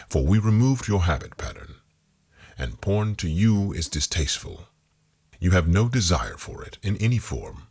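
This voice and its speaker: A man with a very low, husky voice leads a guided hypnotic meditation. He reads in deliberate, soothing phrases, yet his pitch moves widely as he goes. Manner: slow-phrased, calming, deliberate, repetitive suggestion.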